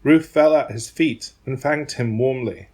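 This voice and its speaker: A man's voice: medium pitch, unhurried, darkish tone, slightly rough. A man narrating a dramatised Bible reading from a script.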